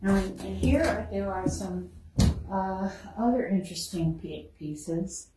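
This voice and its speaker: A woman's voice: medium pitch, slow. Chatty woman curator in an echoey room. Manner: chatty, lingers on exhibits, laughs easily. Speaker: museum curator giving a tour. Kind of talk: casual guided tour talk. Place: indoor museum room. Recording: roomy, distant mic, faint noise.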